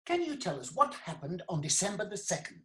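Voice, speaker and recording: A woman's voice: lowish voice, big pitch swings, a little fast, sharp tone. A woman playing a formal, persistent lawyer questioning witnesses in a comic courtroom play. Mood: probing. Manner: formal, inquisitive.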